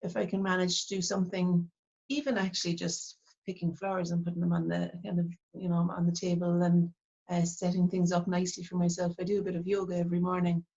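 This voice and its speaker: Soft-spoken Irish woman, dull sound. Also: on the low side, level tone. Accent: Irish, Cork. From Ireland. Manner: reflective, halting, many fillers and restarts. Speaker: artist, interview guest. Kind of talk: casual interview talk.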